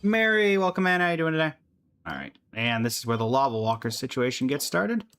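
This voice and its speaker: A man's voice: mid-range voice, big pitch swings, a little fast, a bit bright, clear, resonant tone, faint music behind. A man streaming a video game, giving casual live commentary, greeting viewers and making playful asides to himself and the characters.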